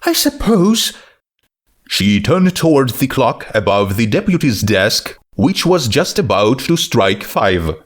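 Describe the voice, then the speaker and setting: A man's voice: on the low side, sing-song, unhurried, hardly any pauses. A man reading a piece of comic fiction aloud as its narrator, in scripted storytelling style.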